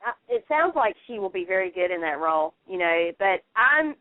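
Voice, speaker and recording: A woman's voice: mid-range voice, big pitch swings, normal speed. Female radio panelist, conversational and emphatic, medium register, narrow phone-line audio without room echo. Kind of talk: casual chat about TV soaps. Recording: dry, tinny phone line.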